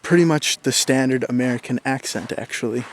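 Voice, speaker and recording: A man's voice: medium pitch, fairly quick. A young man from southern Minnesota with a Minnesotan accent, rambling casually to camera with many fillers and restarts. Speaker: vlogger. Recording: faint background noise.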